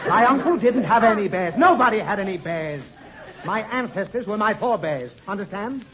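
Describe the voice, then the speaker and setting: Exasperated radio dad, high and animated. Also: male voice, normal speed, a faint crowd. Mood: flustered, exasperated. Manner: pedantic, flustered, exasperated. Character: exasperated, long-suffering father explaining things to his daughter. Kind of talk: 1940s radio comedy acting. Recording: muffled noisy old radio recording, studio audience.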